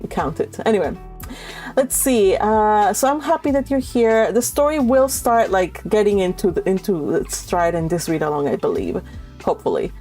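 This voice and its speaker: Female livestream host, chatty and affectionate, informal delivery at an average pitch, faint music underneath. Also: mellow. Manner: chatty, giggly, affectionate toward listeners. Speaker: host of a live read-along stream. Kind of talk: casual livestream chat with viewers.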